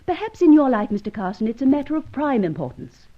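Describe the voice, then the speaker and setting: A woman's voice: high voice, big pitch swings, fast talker, dull audio. An actress playing a cool, haughty, guarded woman in a radio drama, speaking formally and precisely. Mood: suspicious, pressing. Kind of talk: old-time radio drama acting.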